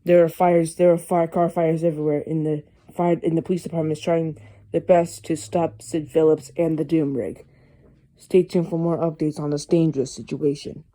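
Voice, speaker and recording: A speaker reading a mock emergency alert broadcast as the announcer, in an amateur and somewhat halting way. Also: medium pitch, flat delivery, warm tone.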